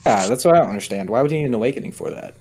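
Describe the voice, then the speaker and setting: A man's voice: mid-range voice, lively intonation, very fast, a little mellow. A man in a casual fan chat, talking informally and skeptically. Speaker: voice chat participant.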